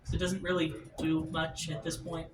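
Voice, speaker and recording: A man's voice: a little high, monotone, normal speed, music in the background. A man acting as game master, casually explaining rules at a tabletop game. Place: indoor room, group game table. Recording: roomy, far from mic, faint noise.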